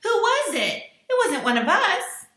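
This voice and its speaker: A woman reading aloud, voicing a frightened young woman who cries out in a high, panicky voice. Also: sing-song, unhurried, bright, forceful tone, echoey room, exaggerated voice. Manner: high-pitched frightened crying out.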